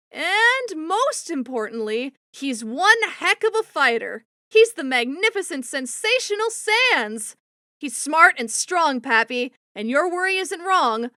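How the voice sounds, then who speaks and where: A woman's voice: high voice, sharp tone, no room sound, studio quality, exaggerated voice. A woman voicing a motherly spider monster in a comic dub, caring and firm in an earnest, coaxing way. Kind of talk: amateur comic dub voice acting. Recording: music bed under the voice.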